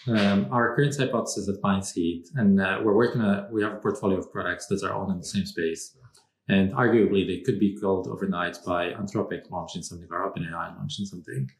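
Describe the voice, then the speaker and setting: A man originally from Morocco, speaking as a podcast guest in a measured, thoughtful way with frequent pauses. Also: low-pitched, fairly even intonation, roomy sound. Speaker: podcast guest, strategy consultant. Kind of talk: conversational interview.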